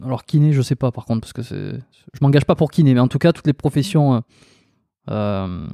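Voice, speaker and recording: A man's voice: mid-range voice, animated, a little fast, creaky, dark tone, dry recording. A man hosting a podcast interview, talking casually with frequent fillers. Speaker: podcast host.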